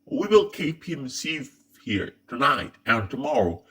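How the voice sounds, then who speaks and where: A man's voice: mid-range voice, sing-song, unhurried, sharp tone. A man voicing a brusque, dutiful manservant in a radio drama, deferential to his mistress and firm in taking charge. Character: gruff, dutiful male servant taking charge of a prisoner.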